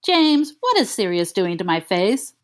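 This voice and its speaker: A woman's voice: mid-range voice, very animated, measured pace. A woman voicing a bewildered boy, talking in a shaky, incredulous way. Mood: alarmed.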